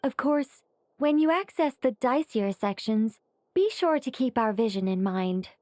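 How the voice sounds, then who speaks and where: A woman's voice: fairly high pitch, expressive, a little slow, darkish tone, a little hiss or hum. A woman voicing a composed, businesslike young woman organizer in an anime game, explaining with self-assurance.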